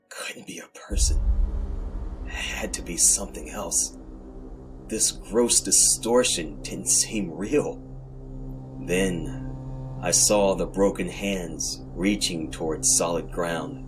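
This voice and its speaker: Deep-voiced man narrating horror fiction. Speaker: host and narrator. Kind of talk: dramatic scripted horror narration.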